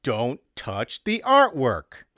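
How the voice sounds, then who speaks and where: A man's voice: medium pitch, wildly swooping pitch, takes it slowly, sharp tone, no room sound, clean studio sound, exaggerated voice. A man narrating a story as a wry, teasing male prankster artist with a provoking smart-aleck manner. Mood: scheming.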